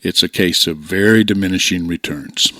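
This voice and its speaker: Older man, lowish voice, informal how-to narration, plain-spoken, close dry recording. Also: normal speed, pushed and bright, dry recording. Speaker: radio hobbyist presenter. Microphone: close desktop microphone, voice-over.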